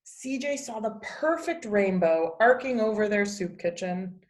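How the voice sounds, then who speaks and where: A woman reading a children's picture book aloud as a teacher, with expressive storybook narration. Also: mid-range voice, unhurried. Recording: echoey, roomy sound.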